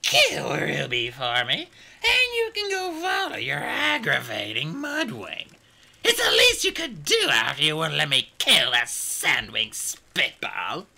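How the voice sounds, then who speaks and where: A cruel female dragon queen from a storybook, high and sharp-edged, hissing and purring her taunts, as a male narrator puts her on. Her voice swoops wildly up and down, bright and tense, with a gravelly grain at the bottom. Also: wildly swooping pitch, slow, full character voice. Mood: purring, coaxing. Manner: hissing, snarling, mocking.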